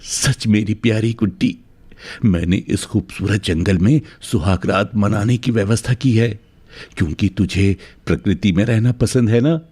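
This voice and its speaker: A man's voice: lowish voice, a little slow, darkish tone, husky. A man voicing a rich young bridegroom in a cartoon story, doting and possessive, speaking fervently and emphatically. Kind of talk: melodramatic cartoon story acting.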